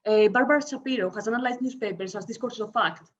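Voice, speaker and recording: A woman with a Greek accent reads an academic paper over a video call. She talks quickly in a fairly high voice, with frequent hesitations and self-corrections. The sound is slightly dull and lo-fi. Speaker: conference speaker.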